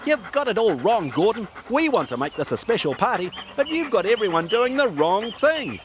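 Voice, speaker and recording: A man's voice: high voice, sing-song, normal speed, background noise, pitched above the performer's own voice. A man voicing an earnest young male puppy who pleads his case. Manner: yapping, pleading.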